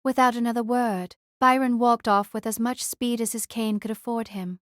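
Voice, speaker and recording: A woman's voice: on the high side, fairly even intonation, moderate pace, fairly bright tone, no room sound, studio quality. A woman reading scripted story narration as an audiobook narrator.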